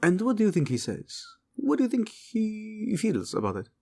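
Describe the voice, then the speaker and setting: A man voicing a flippant, flirtatious tiefling soldier in a fantasy audio drama, with an animated, chatty, joking delivery. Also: high voice, normal speed, vocal fry, pitched above the performer's own voice, heavily acted. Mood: teasing.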